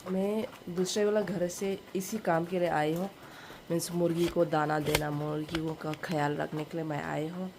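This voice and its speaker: A woman's voice: low voice, level tone, moderate pace, hardly any pauses. A woman with a Northeast Indian accent chatting casually and informally to viewers in a home vlog. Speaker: vlogger. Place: village home, indoors and out in the yard. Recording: noisy handheld home vlog recording.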